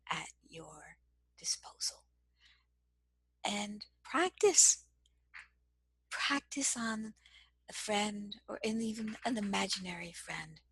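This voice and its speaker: A woman with a soft, very breathy voice teaches in a slow, measured way. Her tone stays gentle and carries a gravelly edge of fry. The sound is close and dry. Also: mid-range voice, no echo, poor audio. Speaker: cross-cultural communication trainer. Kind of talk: instructional lesson to camera.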